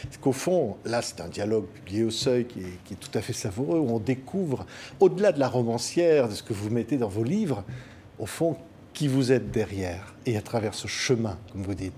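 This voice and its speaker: Male TV host, 50s, mid-pitched, lively prompting, faint ambience. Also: normal speed, slight background noise. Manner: lively, affirming, prompting questions. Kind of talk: TV studio interview. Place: TV news studio. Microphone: desk microphone.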